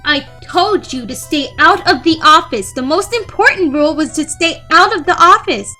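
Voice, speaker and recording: Woman voicing a stern, high-pitched cartoon pony librarian. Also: lively intonation, moderate pace, bright, forceful tone, soft background music. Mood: stern, scolding. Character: stern, gruff, secretly soft reclusive female pony librarian. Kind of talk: comic dub cartoon acting.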